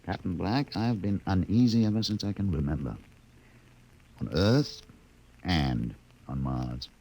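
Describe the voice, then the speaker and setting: An actor playing a fatalistic, sardonic expedition doctor in a 1950s radio drama, speaking deliberately and philosophically. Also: male voice, sits low, warm tone, slightly rough, heavily acted, low-quality recording. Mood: wry unease.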